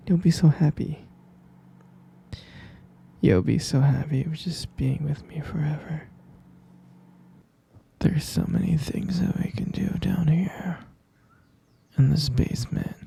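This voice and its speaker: A man whispers in a dark, very gravelly voice, playing an obsessive captor in an ASMR roleplay. His delivery is slow, breathy and halting, tender yet possessive, as he coaxes and repeats himself. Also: a little high. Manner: slow, halting, repetitive, coaxing.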